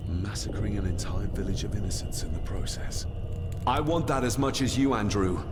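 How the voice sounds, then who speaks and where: An actor playing a defiant, earnest heir in a dramatic video game, speaking in a grave, resolute manner. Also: male voice, fairly low pitch, moderate pace, noisy background, natural voice. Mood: grim. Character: defiant, earnest young heir facing his villainous cousin.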